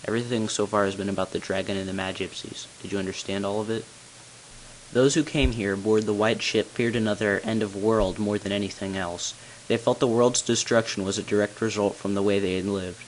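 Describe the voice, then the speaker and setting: A hissy home recording carries the low voice of a tall, solemn bell ringer acted by an amateur man. He narrates long-kept secrets from a video game story. His delivery is grave and deliberate. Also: natural voice, low-quality recording. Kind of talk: scripted character narration, amateur game voice-over.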